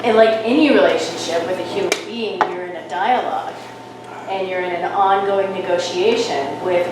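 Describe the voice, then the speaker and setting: A woman on a discussion panel speaking earnestly and at length, with frequent fillers. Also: lowish voice. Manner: earnest, flowing, with many fillers. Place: event hall. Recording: echoey hall from far off, noisy.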